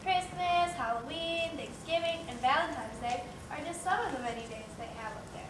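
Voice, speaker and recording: A woman's voice: high voice, moderate pace, distant mic, natural voice. A woman playing an earnest, eager young girl crab in a children's stage play. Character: earnest young female crab, dreamy holiday lover. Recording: very echoey, noisy hall from afar.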